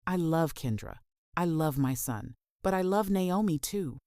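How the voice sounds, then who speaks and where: A woman's voice: low voice, expressive, measured pace, warm tone, dry recording, clean studio sound. Woman voicing an anxious, cornered married man. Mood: panicked pleading. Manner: stammering, anxious, defensive. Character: charming married man, cornered and pleading over affair. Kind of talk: audiobook drama dialogue.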